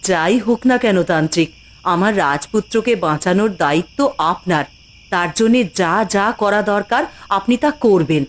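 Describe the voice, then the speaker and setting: A woman's voice: medium pitch, lively intonation, normal speed, lightly acted. A woman playing an anxious, devoted queen and mother in a cartoon fairy tale, speaking earnestly and formally. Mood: anxious, resolute.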